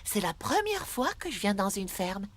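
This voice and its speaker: A woman's voice: medium pitch, sing-song, normal speed. A woman voicing a sweet, friendly little female airplane in a children's cartoon dub. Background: music.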